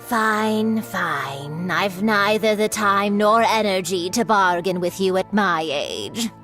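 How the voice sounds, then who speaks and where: An actress playing a shrewd, weary old craftswoman in a video game cutscene, talking in a dry, measured way. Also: medium pitch, over music, lightly acted.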